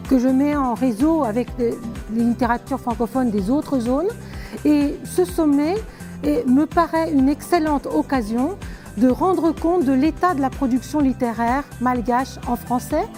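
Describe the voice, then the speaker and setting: High-voiced academic woman. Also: darkish tone. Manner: precise, academic. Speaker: literature scholar. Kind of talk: formal interview answer.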